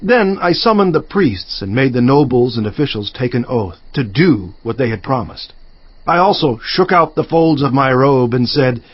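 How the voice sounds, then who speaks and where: An actor playing a resolute biblical governor, narrating scripture in a solemn, emphatic, declamatory manner. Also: male voice, on the high side, husky, dry recording, highs cut off, heavily acted. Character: resolute, indignant biblical governor recounting his own deeds. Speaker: narrator of dramatized audio Bible.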